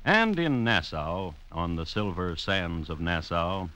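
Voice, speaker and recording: A man's voice: low-pitched, expressive, slow, sharp tone, slight background noise, heavily acted. A man narrating a classic radio drama as scripted storytelling, in a dramatic, theatrical manner. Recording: music behind the voice.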